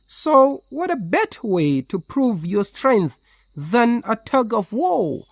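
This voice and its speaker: Lady doing a clever little boy spider for a kids story, bold and cheeky, really swoopy high voice, theatrical. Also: sing-song, dry recording, exaggerated voice. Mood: sly flattery. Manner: theatrical, slow, drawn-out challenges.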